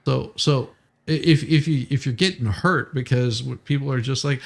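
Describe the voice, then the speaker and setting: A man with a gravelly, mid-pitched voice talks casually on a livestream. He explains haltingly, circling back and repeating words as he goes. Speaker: live streamer, co-host.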